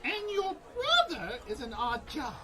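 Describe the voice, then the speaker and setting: A woman's voice: high-pitched, wildly swooping pitch, unhurried, very noisy, heavily acted. A woman voicing a small, cheeky gnome sorcerer in a comedy radio play.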